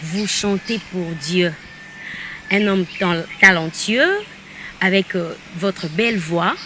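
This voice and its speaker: A woman's voice: lowish voice, expressive, slow. A woman from DR Congo hosting a talk show, chatting casually with a guest and teasing him now and then in Lingala phrases. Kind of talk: casual interview chat. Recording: loud background noise.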